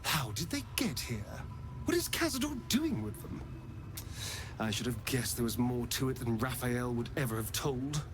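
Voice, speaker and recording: An actor playing a sardonic, guilt-ridden vampire spawn in a video game, theatrical and given to dramatic breathy pauses. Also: male voice, mid-range voice, big pitch swings, noisy background, lightly acted. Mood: uneasy, scornful.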